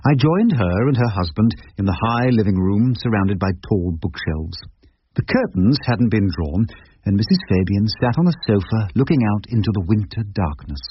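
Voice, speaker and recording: A low-pitched, wry older English barrister played by a male actor for radio drama. He is literate and measured, with lively intonation. The recording is muffled, with clear background noise. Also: close to an everyday voice. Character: wry, eloquent ageing English criminal barrister. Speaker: lead actor and narrator. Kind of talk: radio drama dialogue and first-person narration.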